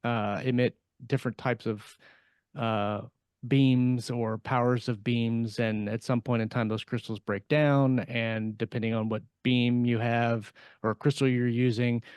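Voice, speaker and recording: A man's voice: mid-range voice, steady tone, darkish tone, smooth, dry recording. Man thinking aloud, dry close sound. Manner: halting, thinking aloud with repeated words. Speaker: science fiction author on a panel podcast. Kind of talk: casual panel discussion.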